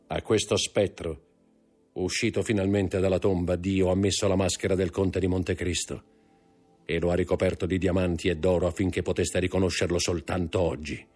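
A man reading a story aloud and voicing a calm, implacable avenger who speaks slowly and solemnly. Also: sits low. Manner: slow, measured, solemn. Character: calm, implacable avenging count disguised as a priest.

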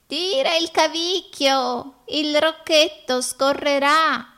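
Storybook granny, elderly, frail, very slow, high sharp voice. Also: female voice, heavily acted. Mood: frail, calling out. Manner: slow, calling out. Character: kindly, frail old grandmother calling from bed.

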